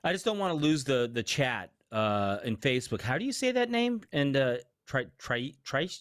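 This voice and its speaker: A man's voice: mid-range voice, moderate pace, no room sound, studio quality. A man co-hosting a casual podcast livestream, joking animatedly and poking fun at himself. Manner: animated, joking, self-deprecating. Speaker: podcast co-host.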